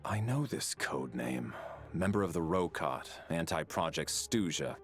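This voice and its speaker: An actor playing a stern, methodical investigator in a video game, formal and measured with calm authority. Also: male voice, rumbling low, exaggerated voice. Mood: composed, firm. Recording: music behind the voice. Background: music.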